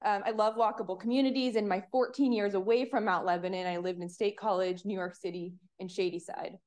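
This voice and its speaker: A woman in her thirties from Pennsylvania, giving an earnest, measured public comment at a municipal commission meeting on a podium microphone. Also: medium pitch, fairly even intonation, darkish tone. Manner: earnest, measured, reads clearly.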